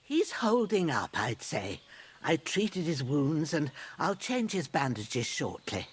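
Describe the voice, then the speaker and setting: A woman's voice: sits low, very animated, slow, a little hiss or hum, full character voice. An actress playing an older village herbalist mother in a game, chatty and reassuring in rustic speech. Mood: brisk, practical.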